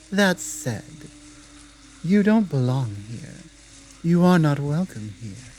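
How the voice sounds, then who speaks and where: A woman playing a dominant, menacing female werewolf pack leader in a slow roleplay ASMR drama, speaking deliberately and with taunting command. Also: low voice, mellow, a little hiss or hum, poor audio. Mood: stern, bargaining.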